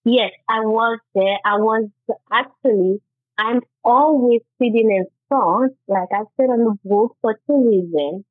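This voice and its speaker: Lady telling her story, halting and earnest, thin phone-line sound, dry. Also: a little high, no echo. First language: Haitian Creole. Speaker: guest author. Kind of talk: interview, personal testimony.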